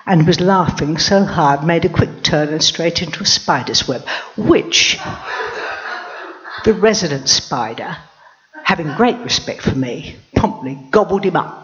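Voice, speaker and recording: Lady telling it as a proud lioness queen, slow and lively, kinda muffled lo-fi. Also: low voice. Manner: wry, lively, drawn-out delivery. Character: proud regal lioness queen, dry and wry. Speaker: storyteller. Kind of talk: storybook narration in character.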